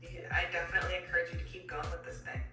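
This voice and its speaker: Woman coach, casual n encouraging, far from mic, dull sound, music really loud. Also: on the low side, level tone, racing. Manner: encouraging, supportive. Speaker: posing coach. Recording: muffled, distant, echoey, loud music behind.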